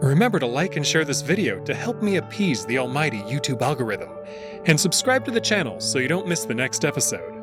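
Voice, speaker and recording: Animated male story narrator over music. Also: medium pitch, a little fast. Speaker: host and narrator of a visual novel read-through. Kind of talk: scripted expressive story narration. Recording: music behind the voice. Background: background music.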